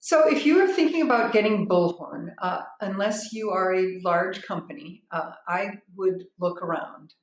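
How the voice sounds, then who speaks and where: A woman giving an unscripted spoken review as a business owner, frank and matter-of-fact, with halting phrasing and repeated words. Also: medium pitch. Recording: roomy, echoing sound.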